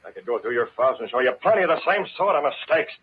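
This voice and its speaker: An actor playing a commanding, coolly taunting masked vigilante in a 1940s radio drama, giving clipped threats. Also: male voice, mid-range voice, animated, very fast, phone-quality sound, natural voice. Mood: accusing. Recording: scratchy, thin old-time radio broadcast.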